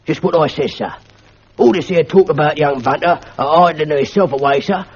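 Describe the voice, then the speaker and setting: Old male school porter, deferential but stubborn, rough dialect; played by a man. Raspy, fairly high. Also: moderate pace. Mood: aggrieved. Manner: ungrammatical dialect, deferential, keeps saying "sir". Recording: lo-fi old archive recording.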